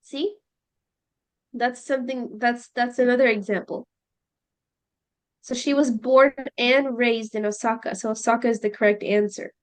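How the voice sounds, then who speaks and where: A woman teacher leading a listening lesson, explaining slowly and checking in with her students. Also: a little high, fairly even intonation. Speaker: English teacher.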